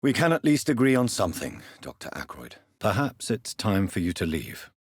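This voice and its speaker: An actor playing a grave, refined British doctor turned vampire in a video game, speaking formally with measured, precise diction. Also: male voice, fairly low pitch, huge pitch swings, husky, no echo, studio quality, exaggerated voice. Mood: probing, composed. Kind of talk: video game character dialogue.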